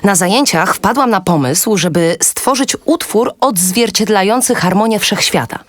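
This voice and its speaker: Earnest schoolgirl for a kids' audio drama, woman actor, animated delivery, husky edge, dry sound. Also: medium pitch, normal speed, dry recording, natural voice. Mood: earnest, urgent. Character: earnest, determined schoolgirl composer stranded on a musical planet. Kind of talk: Polish children's audio drama acting.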